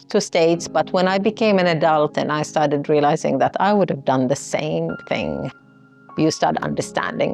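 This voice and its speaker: A woman's voice: fairly low pitch, creaky, darkish tone. A woman from Finland who was raised in Kuwait, telling her life story in an interview, reflective and searching for her words.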